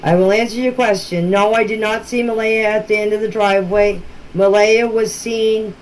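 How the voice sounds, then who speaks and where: A woman's voice: medium pitch, steady tone, moderate pace. Plain-spoken woman in a noisy voice message. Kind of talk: informal explanatory talk in voice messages. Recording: noisy amateur voice-message recording.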